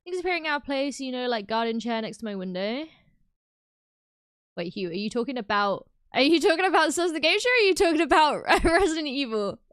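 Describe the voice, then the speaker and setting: A woman's voice: high voice, a little fast, dry recording. Woman, British accent, livestreamer, casual chat, exclamatory, dry close sound. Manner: animated and exclamatory, reacting to chat. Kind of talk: casual live stream chat.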